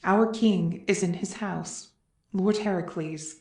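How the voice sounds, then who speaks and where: Woman as slow, earnest tragic chorus member, echoey. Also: mid-range voice, darkish tone. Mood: grave, questioning. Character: solemn, anxious chorus member of a Greek tragedy. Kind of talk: classical verse drama reading. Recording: echoey room.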